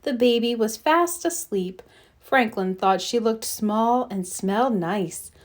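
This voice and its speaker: A woman reading a children's story aloud in an expressive, scripted storytelling style. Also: on the high side, takes it slowly. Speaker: storybook reader.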